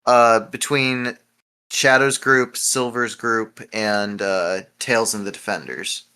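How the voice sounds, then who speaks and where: A man's voice: on the low side, fairly even intonation, takes it slowly, bright, forceful tone, clear, resonant tone. A man hosting a casual podcast discussion, prompting the others with questions. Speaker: podcast host.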